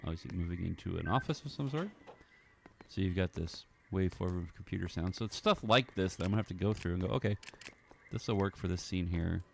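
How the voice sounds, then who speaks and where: Low-voiced man thinking aloud, slightly gravelly. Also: fairly quick, slight background noise. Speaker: audio drama creator. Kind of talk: casual walkthrough of editing. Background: film score music.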